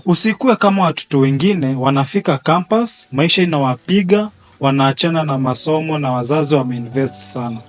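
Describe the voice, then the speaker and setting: A man's voice: a little high, brisk, few pauses, dry recording, close to an everyday voice. Proud Kenyan father in a radio drama man acting. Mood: proud, admonishing. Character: proud, admonishing father of a college-bound daughter. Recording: music behind the voice. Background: background music.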